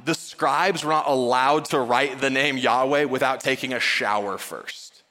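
A man preaching a conversational sermon in an informal, joking way, with emphatic pauses and acted-out dialogue. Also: mid-range voice, pushed and bright. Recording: reverberant hall sound.

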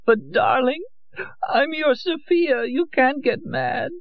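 A man's voice: very high-pitched, slow pace, creaky, no room sound, highs cut off, voice pushed up, full character voice. A man voicing a drunk, theatrical young woman who drawls coyly and stumbles over her words. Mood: pleading. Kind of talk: audiobook character voice.

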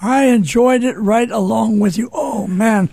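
A man's voice: high-pitched, measured pace, gravelly, a little mellow. A man hosting a radio show in a folksy, conversational way, exclaiming informally and speaking straight to his listeners.

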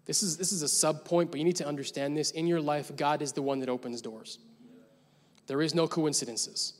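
A man's voice: fairly high pitch, steady tone, a little fast. A young adult man preaching a sermon as pastor, telling a story casually and building to emphatic points. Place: large church hall. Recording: long church hall reverb.

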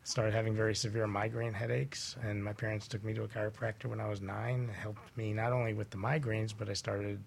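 A man's voice: fairly low pitch, flat delivery, fairly quick, gravelly, hardly any pauses, a little hiss or hum. A man in his forties talking as a guest chiropractor in a conversational radio interview, explaining things through anecdotes. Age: 40s.

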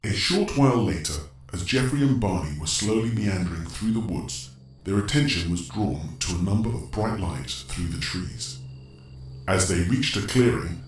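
A man's voice: low-pitched, heavy reverb, close to an everyday voice. Actor as solemn storyteller, male, ominous, dramatic narration, distant, faintly noisy ambience.

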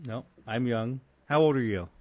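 A man's voice: mid-range voice, normal speed, highs cut off. Dry, teasing podcast host man. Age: 30s. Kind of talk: casual chat.

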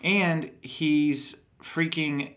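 A man from Texas hosting a casual chat, talking in a rambling, animated way that keeps breaking off and restarting. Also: on the high side, extremely slow. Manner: rambling, animated, self-interrupting. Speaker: podcast host.

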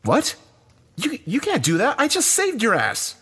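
Man acting a distraught husband, raised husky voice. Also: a little high, big pitch swings, moderate pace, pitched above the performer's own voice. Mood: angry, incredulous. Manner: insistent, questioning. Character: Danny, distraught husband and father, confrontational.

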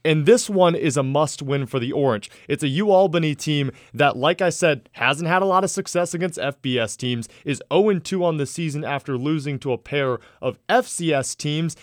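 A man co-hosting a sports radio show, breaking down a game in a measured, analytical way. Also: fairly high pitch, no room sound, studio quality. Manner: measured, analytical, some filler words.

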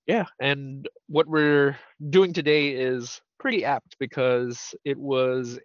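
A man's voice: medium pitch, fairly bright tone, no room sound. Male podcast host, casual rambler who laughs easily, dry close sound, slightly dull audio. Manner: rambling, laughs often, teasing.

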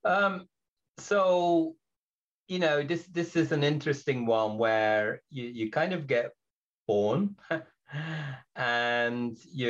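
Belgian man, hesitant and reflective, lo-fi sound. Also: medium pitch. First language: not English. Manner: reflective, hesitant, many fillers and restarts. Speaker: guest. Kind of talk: podcast interview.

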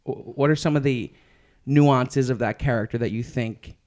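Young guy hosting a chat, mid voice, hyped up, swears a lot, talks fast then slows down. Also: medium pitch, darkish tone. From Philadelphia area. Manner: enthusiastic, swears casually, quick laughs. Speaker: interviewer host. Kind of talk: casual interview chat.

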